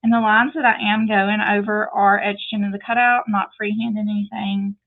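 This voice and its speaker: Southern woman with a gravelly edge. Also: mid-range voice, level tone, normal speed. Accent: Southern US, North Louisiana. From North Louisiana. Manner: chatty, explains as she paints, frequent fillers. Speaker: craft painting demonstrator. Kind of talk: casual painting tutorial. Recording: tinny phone-like sound.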